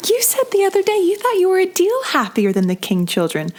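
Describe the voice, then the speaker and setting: A woman playing a gentle, contented teenage sister in a period audio drama, mild and placating. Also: extremely high pitch, huge pitch swings, brisk, heavily acted. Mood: reassuring. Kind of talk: period radio-play acting.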